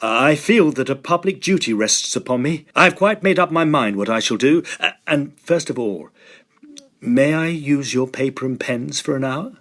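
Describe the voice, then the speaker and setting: A man playing a determined, agitated young gentleman in a Victorian horror drama, speaking earnestly and emphatically in formal phrases. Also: medium pitch, lively intonation, moderate pace. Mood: agitated, insistent.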